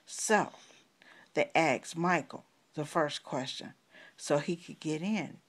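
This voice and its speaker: A middle-aged woman, a grandmother improvising a children's story with long pauses and coaxing questions. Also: deep voice. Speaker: grandmother telling a bedtime story.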